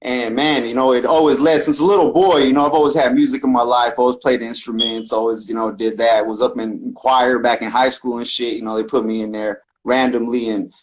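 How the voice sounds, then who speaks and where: A man's voice: medium pitch, fairly even intonation, brisk, few pauses, rough recording. A man from the Bay Area with a Northern California accent, telling his story casually and earnestly in loose street slang. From San Francisco Bay Area, United States. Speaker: podcast guest, talkbox musician.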